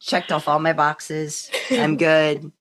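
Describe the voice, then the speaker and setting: A woman podcast host in casual conversation, telling rambling, animated stories. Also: deep voice, slow pace. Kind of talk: casual chat.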